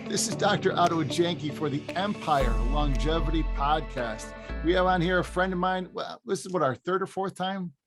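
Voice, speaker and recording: A man's voice: a little high, normal speed, hardly any pauses, a bit bright, faint music behind. A man in his fifties hosting a podcast, chatting casually and often restarting his sentences. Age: 50s. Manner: rambling, restarts phrases, laughs easily. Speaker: podcast host. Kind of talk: casual interview chat.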